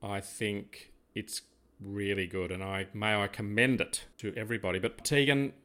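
An older man with an Australian accent, chatting as an interviewer in a measured, discursive way. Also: fairly low pitch, steady tone, fairly bright tone. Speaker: podcast co-host, interviewer. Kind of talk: casual conversational interview.

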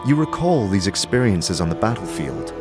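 Casting: male storyteller for a fantasy audio drama, lowish register, animated scripted narration. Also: moderate pace, over loud music.

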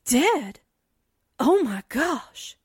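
A woman playing an excitable, slangy young newspaper assistant in a breezy, dramatic 1940s radio drama style. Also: sits high, extremely slow, dry recording, clean studio sound, heavily acted. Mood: shocked.

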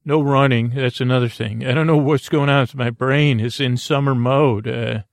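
A man hosting a bedtime podcast, rambling softly off on tangents in lulling, creaky, dulcet tones. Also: mid-range voice, steady tone, moderate pace, dry recording. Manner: meandering, tangent-prone, self-interrupting, drowsy and soft. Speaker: podcast host.

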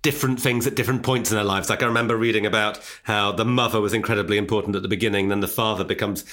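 A man's voice: fairly low pitch, steady tone, rapid-fire, a bit bright. A man in his forties from Newcastle without a regional accent, chatting casually as a guest with self-deprecating humour and many restarts. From Newcastle, England. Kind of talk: casual podcast chat.

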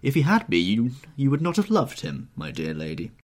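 An urbane, cynical gentleman, acted by a male audiobook reader. He delivers polished witticisms suavely, with animated intonation. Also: medium pitch, fairly quick, voice pushed up. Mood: epigrammatic. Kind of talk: audiobook character voice.

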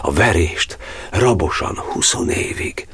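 Radio drama proud, reproachful old cardinal: husky, crackling voice, impassioned verse with wide swings. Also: male voice, deep voice, expressive, fairly bright tone, no room sound, exaggerated voice. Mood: anguished reproach. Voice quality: raspy, gravelly. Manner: declamatory, impassioned. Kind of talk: verse monodrama radio play acting.

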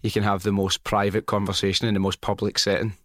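A man's voice: lowish voice, little pitch movement, fast talker, fairly bright tone, dry recording, studio quality. Chatty Scottish man hosting a podcast. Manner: chatty, jokey, laughs easily. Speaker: podcast host. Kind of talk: casual interview chat.

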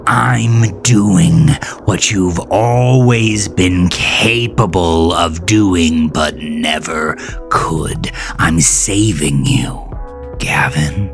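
Horror audio drama killer, voiced by a male narrator: deep, menacing, mocking, unhurried, over music. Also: full character voice. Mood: intense, preaching. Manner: taunting, deliberate, cursing. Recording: music bed behind voice.

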